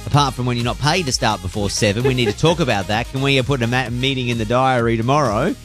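A man's voice: mid-range voice, fast talker, a bit bright, faint music behind. A man hosting an evening radio show, chatty and playful, teasing his co-hosts.

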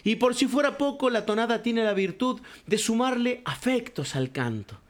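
High-voiced older man. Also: expressive, moderate pace. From Cuyo, Argentina. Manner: warm storytelling, addresses listener as compadre. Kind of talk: reflective spoken radio column.

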